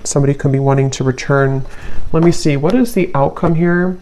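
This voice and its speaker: A tarot reader talking casually and intuitively to viewers, thinking aloud in a halting way and repeating phrases. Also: medium pitch, dark tone, slight background noise.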